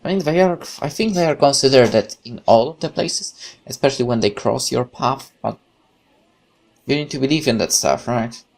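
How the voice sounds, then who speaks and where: A man doing a let's play, reading game text aloud and adding chatty, expressive commentary. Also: medium pitch, moderate pace, creaky. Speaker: gaming YouTuber. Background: guitar music.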